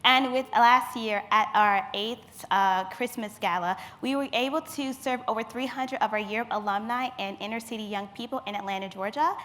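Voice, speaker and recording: A woman's voice: on the high side, normal speed, hardly any pauses, fairly bright tone. A woman in her twenties, a youth leader, giving a personal talk as she presents photo slides to a forum. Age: 20s.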